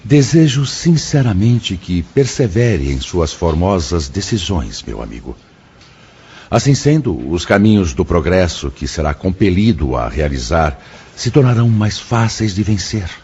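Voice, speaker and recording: An actor with a Brazilian accent playing a venerable, benevolent spirit mentor in a radio drama, speaking in a measured, formal way. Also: male voice, low voice, very animated, slight background noise, heavily acted. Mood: kindly approving. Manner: measured, formal, gently admonishing. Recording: dull lo-fi audio.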